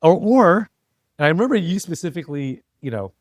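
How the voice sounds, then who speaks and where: A man's voice: a little high, very animated, a little fast, dry recording. A middle-aged American man chatting reflectively as an interview guest, talkative and often checking for agreement as he goes. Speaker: interview guest, entrepreneur and former CEO. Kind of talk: casual conversational interview.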